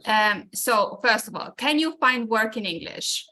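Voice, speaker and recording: A woman's voice: on the high side, expressive, unhurried, pushed and bright. A woman presenting an informational webinar over a video call, explaining things with frequent restarts and fillers.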